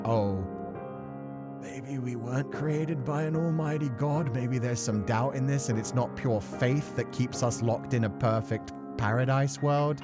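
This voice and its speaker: A man livestreaming in a casual, rambling, thoughtful way, swearing now and then as he chats with viewers. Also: mid-range voice. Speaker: streamer and voice actor who plays visual novels. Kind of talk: casual livestream chat, reading and answering viewer comments. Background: music.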